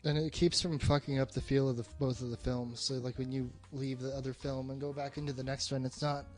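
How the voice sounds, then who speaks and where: A man's voice: medium pitch, little pitch movement, fairly quick, a little mellow, soft background music. A man in a casual film discussion as a co-host, blunt and swearing often.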